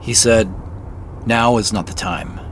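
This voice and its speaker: A hesitant, slow-talking professional guy. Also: on the low side, steady tone, a bit bright, a little hiss or hum. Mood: awkward, rueful. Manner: halting, awkward. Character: Joseph, hesitant, apologetic middle-aged professional man with dad jokes. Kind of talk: naturalistic audio drama acting.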